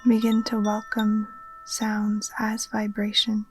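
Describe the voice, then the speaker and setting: Soft, breathy woman guiding meditation, very even. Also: mid-range voice, slight background noise. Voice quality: soft, breathy, often near whisper. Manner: soothing, gentle, unhurried instruction. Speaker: yoga nidra teacher. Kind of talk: guided relaxation meditation. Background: occasional chimes.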